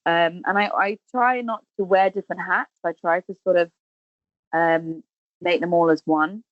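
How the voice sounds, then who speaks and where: A Welsh woman in her thirties with a lowish voice tells stories in a loose, chatty way, filling the gaps with ums and false starts. The sound is dry and a little dull, like a home setup with a muffled, band-limited mic. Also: steady tone, moderate pace, no room sound. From Wales. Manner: chatty, warm storytelling with many ums and restarts. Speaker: podcast guest, actress and singer. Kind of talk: casual interview chat.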